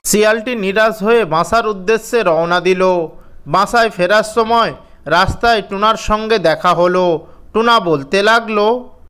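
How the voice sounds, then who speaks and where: A woman storyteller reading scripted narration for a children's animated fairy tale. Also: medium pitch, measured pace, clean tone.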